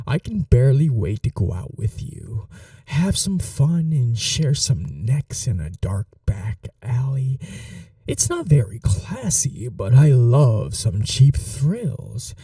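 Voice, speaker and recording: A man playing a possessive, controlling male vampire in an ASMR roleplay, soft-spoken and calmly menacing, slipping into whispers. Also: mid-range voice, slow pace, no echo, far from the mic, full character voice. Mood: doting. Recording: voice a little off-mic, dry.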